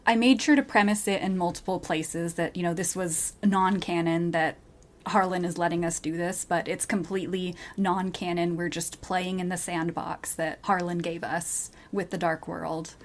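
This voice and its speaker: A woman's voice: lowish voice, fairly even intonation, moderate pace. A woman speaking as a podcast guest, telling things in a casual, conversational way. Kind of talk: casual chat, recounting.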